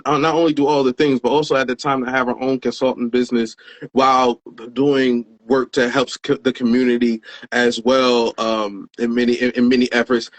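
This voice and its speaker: A man's voice: mid-range voice, rough recording. A man hosting an interview show in a casual, hyped way, using slang and repeating words for emphasis. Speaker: show host.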